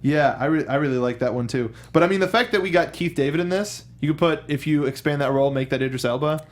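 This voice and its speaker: Man chatting casually, slightly higher voice. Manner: halting, repeats himself. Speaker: co-host of a movie discussion show. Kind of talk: casual chat.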